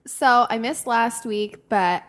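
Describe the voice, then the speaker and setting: A woman's voice: on the high side, takes it slowly. A young woman co-hosting a podcast, telling personal stories in a slangy, self-deprecating way and acting out quoted remarks. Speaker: podcast co-host. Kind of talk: casual chat, telling personal anecdotes.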